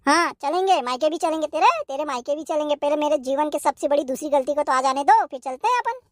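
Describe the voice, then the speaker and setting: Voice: high voice, fast, close to an everyday voice. A performer voicing a henpecked, wisecracking young husband in a cartoon comedy, placating and grumbling. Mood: nervous placating. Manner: placating, mock-poetic, grumbling.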